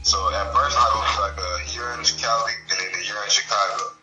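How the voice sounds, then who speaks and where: A man in his twenties from California, chatting in a relaxed way as a guest musician, often saying "you know what I'm saying". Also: lowish voice, fairly quick. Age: 20s. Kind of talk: casual interview chat. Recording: echoey room with music playing.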